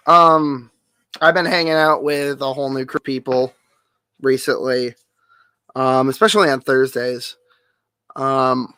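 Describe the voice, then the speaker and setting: A man streamer with a nasal, hoarse voice, rambling through a casual update full of fillers and offhand swearing. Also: mid-range voice, bright, forceful tone, no room sound. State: hoarse, losing voice.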